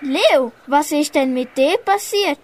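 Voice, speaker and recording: A cheeky gossip of a bird, played by a teenage girl in an amateur radio play. The very high voice teases and exclaims in unhurried phrases with a Swiss German accent. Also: animated, a little mellow. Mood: gossipy.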